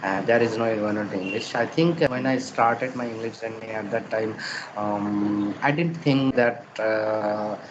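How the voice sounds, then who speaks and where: A young man with a Bengali accent, a native Bengali speaker learning English, talking haltingly and repeating phrases as he asks questions. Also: on the low side, fairly even intonation. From Bengal region of South Asia. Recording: muffled lo-fi call with background noise.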